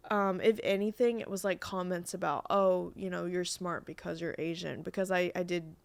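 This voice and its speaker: A woman's voice: fairly low pitch, fairly even intonation, moderate pace, creaky, no echo. Young woman, vietnamese first language, rambly chatty talk, dry sound. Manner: chatty, many fillers and restarts. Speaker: podcast guest. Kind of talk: casual chat.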